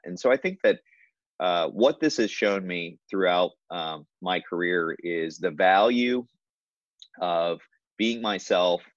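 Low-voiced man, measured reflective talk. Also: no room sound, dull audio. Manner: measured, many fillers and restarts. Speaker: hospital leader, guest. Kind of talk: reflective conversational interview answer.

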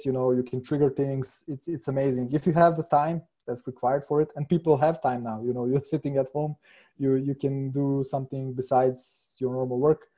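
A man whose first language is Hungarian, a software developer guest answering eagerly, laughing often and repeating words as he thinks. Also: mid-range voice. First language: Hungarian and Serbian. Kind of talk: casual interview answers.

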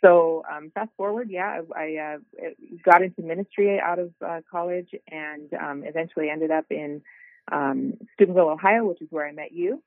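A woman's voice: deep voice, normal speed, no room sound. A middle-aged woman talks about her path in a loose, easygoing way, full of small fillers. Her voice comes through a thin, tinny phone line, dry and close. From South Dakota, USA. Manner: relaxed, rambling storytelling with many fillers. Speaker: guest, ministry worker. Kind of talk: casual interview over the phone.

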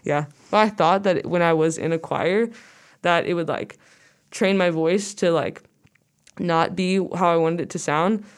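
A young woman with a low, smooth and clear voice chats loosely as an interview guest. She rambles through her thoughts, filling them with "like" and small restarts. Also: a little slow. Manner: rambling, says "like" a lot. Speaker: guest musician. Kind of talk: casual interview chat.

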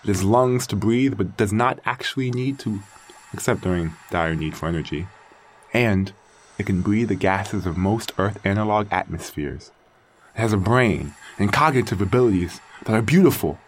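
Man acting an expressive, awestruck machine parent. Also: lowish voice, a little hiss or hum. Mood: awed, solemn. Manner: theatrical, wonder-filled, musing, halting. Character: aging artificial intelligence, doting, awestruck creator-parent of new creatures. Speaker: narrator and lead character. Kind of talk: dramatic first-person audio fiction monologue.

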